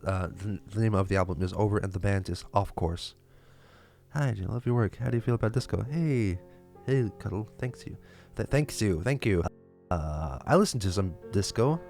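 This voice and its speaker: Man, lithuanian accent, low voice, hesitant casual livestream talk, faint music behind. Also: gravelly, a little mellow. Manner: halting, many fillers and repeated restarts. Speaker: livestream host. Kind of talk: casual livestream chat reading viewer questions.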